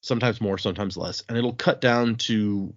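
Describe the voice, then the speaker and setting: A man's voice: fairly low pitch, normal speed, dull audio. A man hosting a podcast, chatting casually and reading listener emails with loose fillers and restarts. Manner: relaxed, rambling with fillers and restarts.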